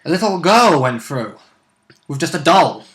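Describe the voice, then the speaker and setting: A man's voice: a little high, very animated, measured pace, a bit bright. A man co-hosting a casual game discussion, explaining rules in a matter-of-fact, technical way.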